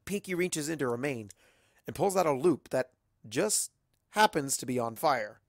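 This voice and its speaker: A storyteller narrates in the first person with a lively, swinging pitch. The recording is dry and close, with the voice at a middling pitch. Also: normal speed, no room sound. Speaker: fan fiction narrator.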